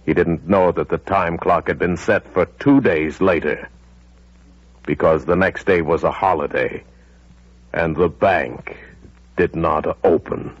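A man's voice: low voice, unhurried, no echo. A man narrating a 1940s radio drama in a suspenseful style that builds to urgent intensity, on a muffled old broadcast.

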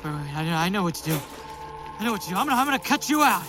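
A man's voice: high voice, very animated, rapid-fire, music in the background. A man playing a drug researcher who is losing control of his mind, stammering and pleading in an audio drama. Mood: desperate. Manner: stammering, panicky, breathless pleading. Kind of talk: audio fiction acting.

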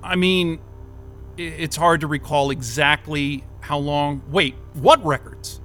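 An uneasy job candidate in an audio drama, confused and evasive. His voice is fairly high and sharp-edged, and he talks slowly and haltingly. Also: faint music behind. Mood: defensive, sheepish. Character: nervous, defensive, disoriented male job interviewee. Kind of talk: naturalistic audio drama acting.

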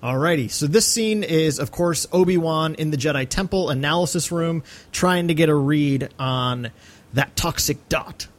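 A man's voice: on the high side, moderate pace. A man co-hosting a podcast, giving opinions in a casual, conversational film analysis. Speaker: podcast co-host.

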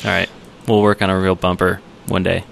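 A man's voice: deep voice, little pitch movement, fairly quick, vocal fry, slight background noise. A man hosting a podcast with casual, jokey banter. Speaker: podcast host.

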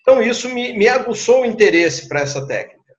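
A man's voice: very high voice, very animated, quick pace, highs cut off. A middle-aged Brazilian man with a Rio de Janeiro accent, recounting and explaining conversationally as a guest surgeon, repeating words and adding tag questions. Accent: Rio de Janeiro Brazilian Portuguese.

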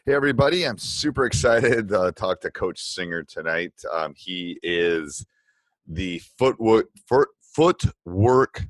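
A man's voice: sits low, lively intonation, measured pace, no echo. A middle-aged man hosting a podcast, chatting casually in a rambling, enthusiastic way. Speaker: podcast host and basketball coach. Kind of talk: casual podcast interview chat.

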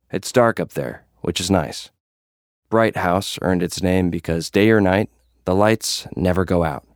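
A young gladiator influencer with a low voice, recorded close and dry. He is jaded and puts up a tough front, telling his story in first person with casual, slangy confessions, like an audio drama lead. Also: dry recording.

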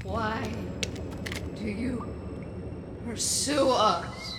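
An actress voicing a woman possessed by a fungus hive mind, intoning in a slow, eerie chant. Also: a little high, noisy background. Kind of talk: radio drama acting.

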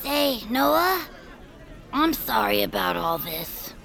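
A woman playing an earnest, dreamy, loyal young adventurer with a creaky voice in a family audio drama. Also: a little high, big pitch swings, slow pace, a bit bright, a faint crowd, exaggerated voice. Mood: apologetic. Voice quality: creaky, gravelly. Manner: earnest, wistful, theatrical. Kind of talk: audio fiction character acting.

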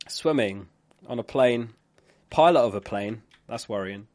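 Hoarse man chatting on a live stream. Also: mid-range voice, a little mellow. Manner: chatty, reads out viewer comments and laughs. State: losing his voice. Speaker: fantasy football streamer.

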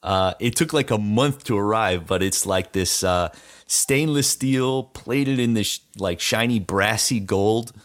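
Low-voiced middle-aged man, casual co-host. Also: unhurried. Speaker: co-host of a chat show. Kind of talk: casual chat.

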